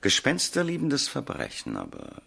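An actor playing a pompous American professor of the occult in a 1970s German radio crime drama, with a husky, gravelly voice and clipped, ominous pronouncements. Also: male voice, medium pitch, huge pitch swings, normal speed, bright, forceful tone, exaggerated voice. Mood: ominous, insistent.

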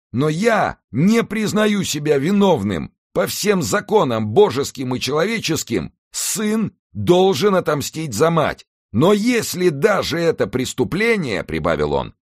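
A frantic young man begs for his life in a high, wailing voice that lurches up and down. The pleas stretch out slowly and loudly, like a storybook villain undone by fear. The recording is dry and close. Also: no echo. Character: desperate young man drowning, pleading, 23.

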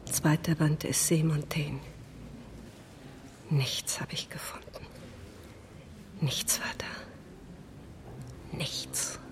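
An actress playing a bitter, accusing woman in a radio play, hissing in a breathy whisper. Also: deep voice, fairly even intonation, very slow, pauses often. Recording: loud music behind the voice. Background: loud music.

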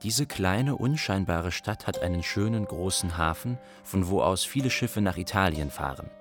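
Very deep refugee narrator. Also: male voice, expressive, moderate pace, music in the background. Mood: matter-of-fact. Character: young male refugee narrator, matter-of-fact, observant. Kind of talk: literary radio play narration.

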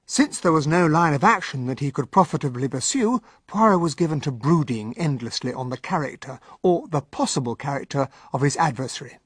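An actor playing a gentlemanly, earnest English detective's companion who narrates a radio drama in a well-spoken, measured way with an English accent. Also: male voice, on the high side, expressive, husky, no room sound, clean studio sound. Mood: earnest narration. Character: gentlemanly, earnest English male sidekick narrating his memoir.

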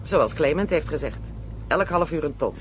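Brash, higher-voiced Dutch crook played by a man. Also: expressive, normal speed, natural voice. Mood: matter-of-fact. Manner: blunt, coarse, slangy. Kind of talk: Dutch radio play acting. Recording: faint noise, radio play recording.

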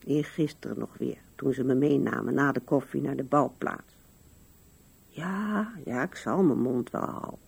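A woman's voice: extremely low pitch, moderate pace, a little mellow, heavily acted. An older actress playing an old widow in a radio drama, musing to herself with resignation at her husband's grave. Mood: mildly grumbling. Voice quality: husky, creaky. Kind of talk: 1970s radio drama monologue.

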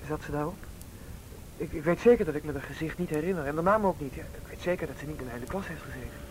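A man's voice: fast talker, lightly acted, poor audio. An actor playing a polite seventeen-year-old schoolboy in a radio drama, guarded and hesitant, choosing his words carefully. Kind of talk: Dutch radio drama acting. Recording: radio play with faint street rumble.

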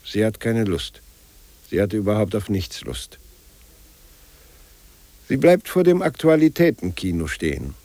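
A man narrating a 1950s radio play in short, measured, deliberate scripted sentences. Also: lowish voice, big pitch swings, dark tone, no echo.

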